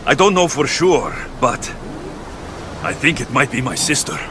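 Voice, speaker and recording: An actor playing a determined, wry young Greek warrior in a video game, speaking directly. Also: male voice, medium pitch, very animated, a little slow. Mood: serious, resolute. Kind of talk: dramatic video game dialogue. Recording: noisy outdoor ship ambience behind voice.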